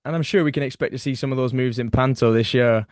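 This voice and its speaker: A man's voice: mid-range voice, fast talker, a little mellow, dry recording, clean studio sound. A man hosting an interview podcast, upbeat and encouraging toward his guest. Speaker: podcast host. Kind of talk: casual interview chat.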